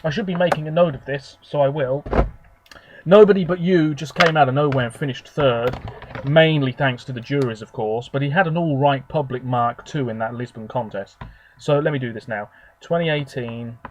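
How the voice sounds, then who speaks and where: Slightly hoarse man rambling about favourites. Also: mid-range voice, moderate pace, a little hiss or hum, low-quality recording. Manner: rambling, chatty, opinionated. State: hoarse, sore throat. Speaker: video host. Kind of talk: casual solo commentary.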